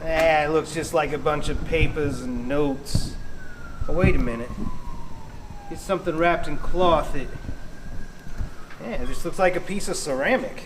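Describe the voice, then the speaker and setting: A man's voice: a little high, unhurried, pauses often, noisy background, natural voice. A man acting a skeptical, wisecracking police detective in an amateur live radio play. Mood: puzzled. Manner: dismissive, wry.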